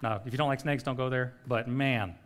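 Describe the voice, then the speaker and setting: A man's voice: mid-range voice, fairly even intonation, fairly quick. A middle-aged man preaching a sermon in a conversational way, often checking in with "okay?". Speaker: pastor.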